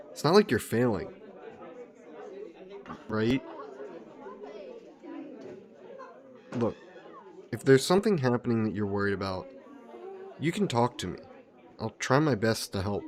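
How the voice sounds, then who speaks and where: A man playing a soft yandere god who poses as a childhood friend, gentle, coaxing and evasive in an intimate roleplay audio drama. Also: lowish voice. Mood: concerned, teasing.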